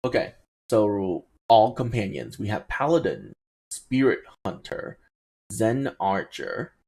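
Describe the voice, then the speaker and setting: A man's voice: lowish voice, sing-song, slow pace, creaky, darkish tone, breathy edge. A man giving casual gameplay commentary, thinking aloud in a hesitant, musing way and often trailing off between thoughts. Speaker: video game streamer.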